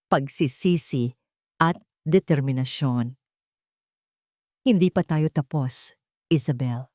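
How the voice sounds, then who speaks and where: A woman's voice: low voice, mellow, no room sound, studio quality. A woman reading a scripted love story as a dramatic narrator.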